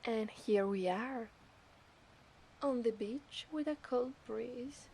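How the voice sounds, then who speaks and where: A woman with an Italian accent voicing an obsessive, seductive mermaid in a teasing, breathy ASMR roleplay. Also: a little high, a little mellow, exaggerated voice. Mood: playful, curious. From Italy. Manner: slow, teasing, breathy half-whispers.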